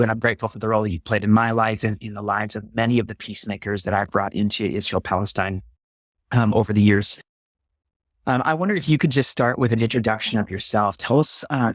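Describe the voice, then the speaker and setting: Lower-voiced male host on a call. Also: no echo. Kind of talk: interview introduction. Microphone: video call.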